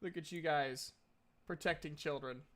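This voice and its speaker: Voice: medium pitch, moderate pace, fairly bright tone. A game master hosting a livestream, chatting casually and recapping a tabletop game in an informal, sweary way. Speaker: game master and stream host.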